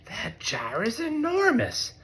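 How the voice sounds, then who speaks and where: A man voicing a small, bold, sneaky female mouse who leads her team in hushed, commanding tones in a children's storybook. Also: extremely high pitch, wildly swooping pitch, slow, creaky, pitched above the performer's own voice, heavily acted. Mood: daring. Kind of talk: children's storybook read-aloud.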